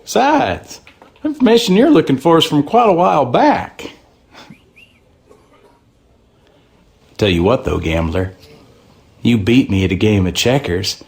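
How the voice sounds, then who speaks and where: An actor playing a crusty small-town checkers player in a video game, with a Southern American accent and a folksy, grumbling drawl. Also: male voice, deep voice, animated, normal speed. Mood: sly, bantering. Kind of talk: game character dialogue.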